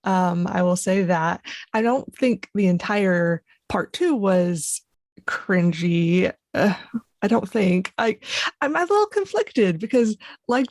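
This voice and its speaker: Lady podcast cohost, low gravelly voice, dry close sound, rambly. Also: big pitch swings, slow, darkish tone, no echo. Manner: chatty, rambling with fillers. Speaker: podcast co-host. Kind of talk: casual podcast chat.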